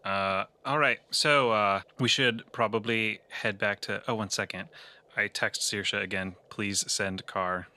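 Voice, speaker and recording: A man's voice: lowish voice, fairly even intonation, measured pace, bright, forceful tone. A man talking casually in and out of character as a player in a tabletop game.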